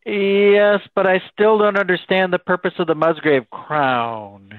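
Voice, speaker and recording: A man's voice: high voice, unhurried, no room sound. A man playing a curious, courteous American announcer who prompts a storyteller in an old-time radio drama. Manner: inquisitive, courteous straight man. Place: home room on a group video call. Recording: thin, phone-like video-call line.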